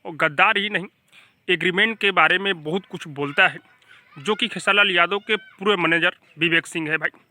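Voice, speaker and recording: Bright, high-voiced man with Bhojpuri accent. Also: moderate pace. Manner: emphatic, repeatedly addressing viewers as brother. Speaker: YouTube channel host. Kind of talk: informal gossip news commentary to camera or voice-over.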